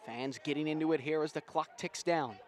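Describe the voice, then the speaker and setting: A man doing live, excitable play-by-play commentary on a rugby sevens match. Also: a little high, a little fast, some chatter behind, slight background noise. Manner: excitable, builds to shouts at big plays.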